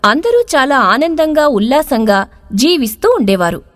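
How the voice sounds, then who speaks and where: A woman narrating a scripted moral story with expressive storyteller delivery. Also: high voice, moderate pace. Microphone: close studio voice-over.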